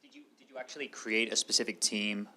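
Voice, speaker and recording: Male Q&A participant, slightly low pitch, bright and forceful tone, asking off-the-cuff questions. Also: steady tone, quick pace, a little hiss or hum. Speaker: audience member asking questions. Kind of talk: spontaneous Q&A questions.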